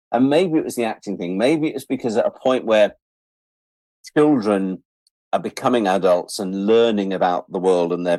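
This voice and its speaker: Low-voiced wry Englishman. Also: darkish tone, no room sound. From England. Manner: wry, self-deprecating, acts out imagined quotes. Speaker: interview guest. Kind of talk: reflective casual chat.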